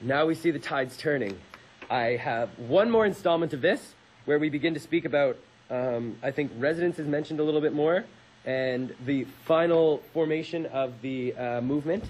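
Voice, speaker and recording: A young man hosts a radio show in a fairly high voice with a husky edge. He moves between reading aloud and casual chat. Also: moderate pace, darkish tone, low-quality recording.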